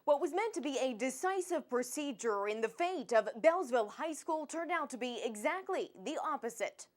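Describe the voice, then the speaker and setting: A woman's voice: high-pitched, expressive, moderate pace. A woman with an American accent reading scripted television news narration as a reporter.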